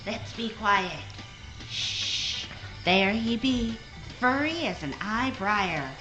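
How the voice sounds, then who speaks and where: A woman voices a secretive little bird in a comic radio play. The voice is high, bright and unhurried, kept hushed in a roomy live recording. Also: animated, soft background music, heavily acted. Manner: hushed, deliberate. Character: quiet, sly little bird guide.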